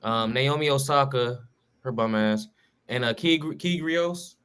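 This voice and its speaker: A man's voice: mid-range voice, a little slow, fairly bright tone, clean tone. Slangy man riffing on sports. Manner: loose, slangy, lots of restarts and fillers. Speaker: podcast co-host. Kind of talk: casual sports talk.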